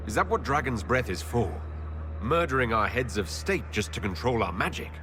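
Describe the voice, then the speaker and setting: A man's voice: medium pitch, lively intonation, moderate pace, noisy background, close to an everyday voice. An actor playing a self-assured male elf hero in a fantasy game, with a dry, probing delivery. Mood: challenging. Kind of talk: dramatic video game acting.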